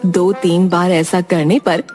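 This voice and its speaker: A woman's voice: lowish voice, flat delivery, slow, a little mellow. A woman narrating a scripted children's story as the storyteller. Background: background music.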